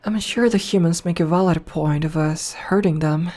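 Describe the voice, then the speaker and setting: A woman's voice: lowish voice, close to an everyday voice. A woman voicing a teasing vampire princess. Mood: musing. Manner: slow, soft, teasing and flirtatious. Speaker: voice actor. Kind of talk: ASMR audio roleplay. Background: faint music.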